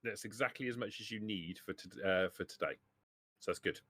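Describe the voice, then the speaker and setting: A man's voice: fairly low pitch, brisk, a bit bright, dry recording. A man acting as game master, explaining rules casually and chatting with players at a tabletop game.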